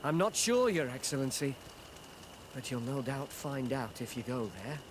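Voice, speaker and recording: A man's voice: mid-range voice, big pitch swings, moderate pace, noisy background, natural voice. An actor playing a nervous, frightened male informant who stammers and pleads, in a video game. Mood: apologetic.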